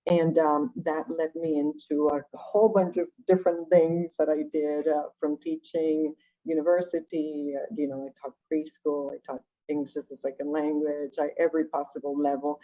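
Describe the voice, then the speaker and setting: A woman's voice: low voice, level tone, moderate pace. A woman from Venezuela, a native Spanish speaker, tells her own story conversationally and reflectively, often checking in with rhetorical questions. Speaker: guest, education nonprofit director. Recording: dull, lo-fi call audio.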